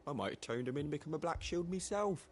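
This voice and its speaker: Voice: medium pitch, lively intonation, quick pace, darkish tone, slight background noise, close to an everyday voice. An actor playing a cheeky, cynical villager in a fantasy video game, talking in a wry, colloquial way with a British accent. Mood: wry, chatty. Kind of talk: scripted video game acting.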